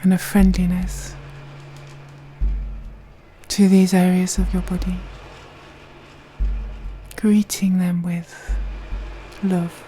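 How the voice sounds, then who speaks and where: A woman guides a meditation in a mellow, breathy voice. Her delivery is slow and soothing, staying very level in pitch, with long pauses between phrases. Soft music plays faintly behind her. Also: level tone.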